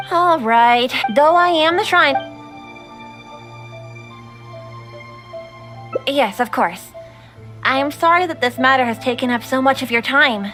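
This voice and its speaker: A woman's voice: high voice, sharp tone. A woman playing a dutiful, perceptive young shrine maiden in an anime video game, speaking formally and politely. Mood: courteous, formal.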